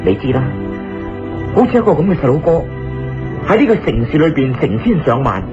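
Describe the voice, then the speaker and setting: A man's voice: a little high, big pitch swings, fairly quick, muffled recording, over music. A man playing an anxious, unstable murder suspect who pleads, in a Cantonese radio drama. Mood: anxious pleading.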